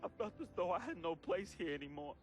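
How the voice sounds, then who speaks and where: An actor playing a remorseful, devoted young man who confesses and says farewell in a dramatic video game monologue, with slangy phrasing and deliberate pauses. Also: high voice, expressive. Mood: emotional, solemn. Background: music.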